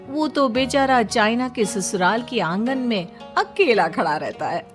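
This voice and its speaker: A woman narrating a scripted cartoon story as its storyteller. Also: a little high.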